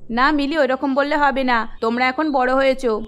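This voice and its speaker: A woman's voice: sits high, fairly even intonation, normal speed, voice pushed down, lightly acted. A woman voicing an exasperated middle-aged mother of twin daughters in a cartoon, with a weary, nagging, motherly manner. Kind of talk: cartoon story character acting.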